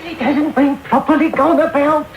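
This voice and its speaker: An actor playing a sly, greedy old crime boss, wheedling and oily, in a radio drama. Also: male voice, very high-pitched, fairly even intonation, moderate pace. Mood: greedy, anxious. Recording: very noisy medium-wave radio broadcast off tape.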